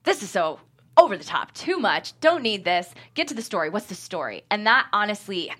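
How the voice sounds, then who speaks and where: A woman screenwriter and director giving an animated interview, chatting casually and voicing imagined quotes as she goes. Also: medium pitch, brisk.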